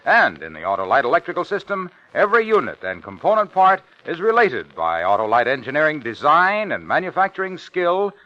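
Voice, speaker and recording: A man's voice: fairly high pitch, huge pitch swings, brisk, few pauses, dry recording. A man announcing a vintage radio commercial in an emphatic, polished sales style. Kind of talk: 1950s radio ad pitch. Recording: dull, dry old radio recording.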